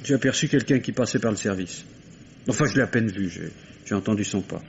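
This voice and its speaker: An actor playing a defensive young lover under suspicion, hesitant and protesting, in a 1950s French radio crime drama. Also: male voice, fairly low pitch, fairly quick, gravelly, slight background noise. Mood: wary, hesitant. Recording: muffled old radio recording.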